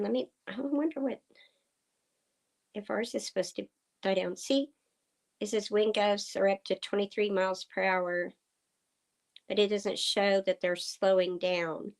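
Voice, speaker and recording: A woman from Oklahoma hosting a casual livestream chat with viewers. Her voice is slightly hoarse. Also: mid-range voice, fairly even intonation. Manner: slow, chatty, talking to viewers. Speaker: livestream host. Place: farm home indoors. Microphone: computer streaming setup.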